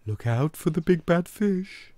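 A man's voice: a little high, expressive, measured pace, dark tone, dry recording, pitched above the performer's own voice. A man voicing a caring, encouraging mother frog in a children's storybook, in a motherly manner. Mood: cautioning.

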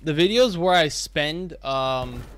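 A man's voice: on the high side, animated, slow, crowd noise. A young man streaming from his home gaming room, chatting casually, laughing often and thanking donors. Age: young adult. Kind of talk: casual chat while gaming.

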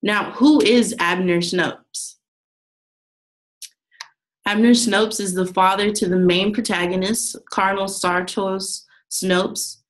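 A woman's voice: mid-range voice, fairly even intonation, takes it slowly, low-quality recording. A young woman, a student, reading a class presentation from her slides, with some restarts. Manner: reads steadily from notes with some restarts.

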